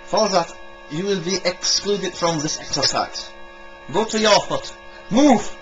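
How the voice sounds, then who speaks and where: A man acting as a bossy army sergeant barks in a loud, high voice. He is strict and commanding, in a homemade military audio drama with faint music behind. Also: a little slow, poor audio. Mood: angry, commanding.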